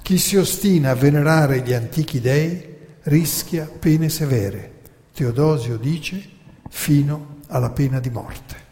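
A man narrating history as a theatrical lecture from a stage, emphatic and full of dramatic pauses. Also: a little high, mellow, slight background noise. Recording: reverberant hall.

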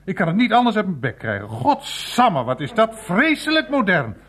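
An actor playing a teasing, worldly old uncle in a radio play, blustering and mocking in drawn-out, emphatic phrases. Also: male voice, high voice, huge pitch swings, fairly bright tone, heavily acted. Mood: dry sarcasm. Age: older.

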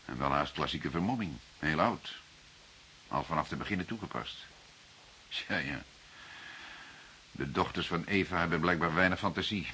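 An actor playing a stern, formal police detective with a sardonic, probing way of talking in a radio play. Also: male voice, low voice, normal speed, pauses often, pushed and bright, slight background noise. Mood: calmer, wry. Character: stern male police detective, patient but explosive interrogator. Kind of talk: Dutch radio play dialogue.

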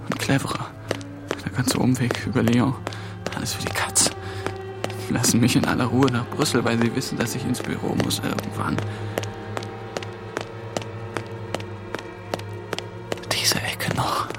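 An actor playing a hunted young man in a radio play, muttering a halting, breathy inner monologue with frantic, sardonic edges. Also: medium pitch, level tone, whispered, loud music behind the voice, natural voice. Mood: exhausted, shaken.

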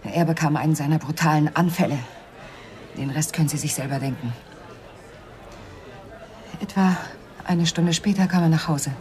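An actress playing a cool, evasive widow with a guilty secret in a radio crime drama, controlled and often hushed. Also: female voice, low-pitched, normal speed, pauses often, lightly acted. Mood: grave, confessional. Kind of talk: 1990s German radio crime drama acting.

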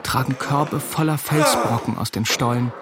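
A young man acting a wary, sharp-witted teenage soldier who also narrates in a radio play. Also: mid-range voice, normal speed, heavy background noise, natural voice. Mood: observant, sombre. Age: young adult.

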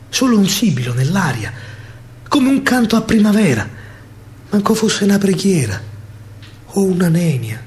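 A male stage storyteller with a Roman accent delivers dramatic verse. His pitch moves widely and his delivery is emphatic and theatrical, shifting between hushed and loud.

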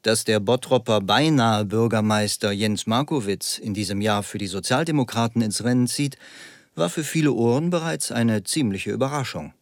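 An actor playing a polished, faintly amused television news anchor in a satirical radio play. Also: male voice, lowish voice, normal speed, dry recording, clean studio sound, close to an everyday voice. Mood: composed. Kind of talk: parody TV news presentation.

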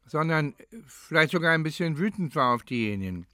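An older man from East Germany, a former professor, recalling his life in an interview in a reflective, halting way as he searches for words. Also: fairly high pitch, lively intonation, pushed and bright.

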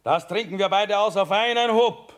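Man playing male commander, grandiose, blustering, pious glutton, rather high voice, radio play. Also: normal speed, lightly acted. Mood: jovial, pompous. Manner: grandiose, bellowing declamation. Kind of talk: theatrical radio play acting.

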